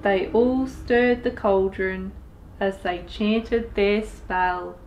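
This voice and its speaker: A woman in her thirties reading a picture book aloud to young children, expressive and deliberate, as a storytime host. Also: mid-range voice, slight background noise, poor audio. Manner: expressive, deliberate, with sound effects and asides to listeners.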